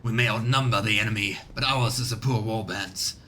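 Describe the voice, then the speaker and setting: A man's voice: a little fast, bright, forceful tone. Man playing a proud, scornful space warrior. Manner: grandiose, contemptuous. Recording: rumbly background noise.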